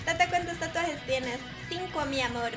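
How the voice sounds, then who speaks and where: A woman's voice: high voice, moderate pace. A young woman, live-streaming and chatting affectionately with viewers as she answers their messages. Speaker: game streamer. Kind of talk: casual live-stream chat. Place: small home streaming room, indoors. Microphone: headset. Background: background music.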